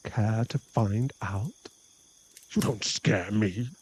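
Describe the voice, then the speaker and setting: A man's voice: lowish voice, slow pace, slight background noise. A man voicing a cocky, possessive male werewolf bully in an ASMR boyfriend roleplay, teasing and taunting in a smug drawl. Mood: taunting menace.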